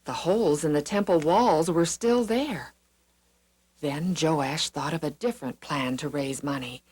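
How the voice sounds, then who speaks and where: A woman narrating scripted Bible stories for children in an expressive, deliberate storytelling manner. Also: low voice, husky. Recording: music behind the reading. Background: background music.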